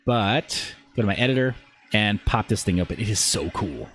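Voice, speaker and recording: A man's voice: lowish voice, lively intonation, normal speed, a bit bright, slight background noise. A man hosting a livestream, chatting casually and enthusiastically about tech in an informal, conversational way. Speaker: livestream host.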